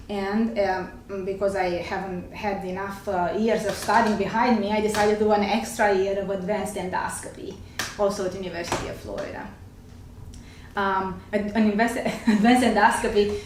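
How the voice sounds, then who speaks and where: A woman's voice: medium pitch, a little mellow, far from the mic. Woman with Romanian accent, careful explainer, echoey room, mic set back, faint hiss. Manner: explanatory, careful, with hesitant fillers. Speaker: gastroenterologist guest. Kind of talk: talk show interview.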